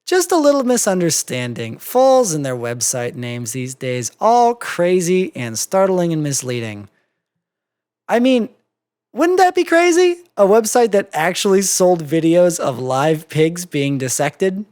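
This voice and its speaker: A story reader voicing an excitable, anxious, bookish female cartoon pony who stammers in disbelief. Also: medium pitch, unhurried, smooth. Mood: relieved, giddy. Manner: stammering, incredulous, squealing outbursts.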